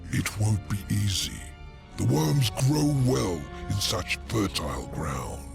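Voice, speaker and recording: Imprisoned demon lord for a game cinematic: sly and theatrical, swooping lines taken slowly, music underneath. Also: male voice, on the low side, big pitch swings, gravelly, heavily acted. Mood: ominous. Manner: theatrical, drawn-out, taunting. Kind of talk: video game cinematic acting.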